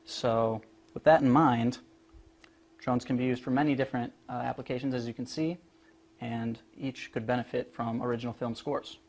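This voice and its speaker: Young man presenting, medium-pitched voice. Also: fairly even intonation, moderate pace, a little mellow. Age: young adult. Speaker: student presenter. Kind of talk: prepared project presentation.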